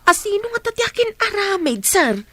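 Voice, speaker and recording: An older, excitable housekeeper with a very high voice acted by a woman in a radio drama. She is nosy and fiercely protective. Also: moderate pace, full character voice. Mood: alarmed. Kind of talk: Ilocano radio drama acting.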